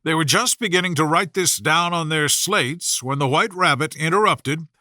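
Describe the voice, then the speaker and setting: A man's voice: fairly high pitch, normal speed, pushed and bright, dry recording, studio quality. A man reading a scripted children's bedtime story as an audiobook narrator.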